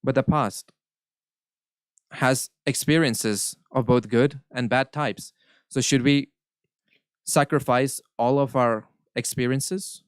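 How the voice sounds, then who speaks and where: Smooth-voiced man interpreting. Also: mid-range voice, fairly even intonation, no room sound. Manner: measured, earnest, occasional self-corrections. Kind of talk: live interpretation of a spiritual Q&A.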